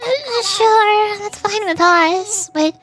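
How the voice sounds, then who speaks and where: Small eager fairy-like game sidekick, played by a woman, childlike and very high-pitched. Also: slow, music in the background. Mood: hesitant, chirpy. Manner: speaks of self in third person.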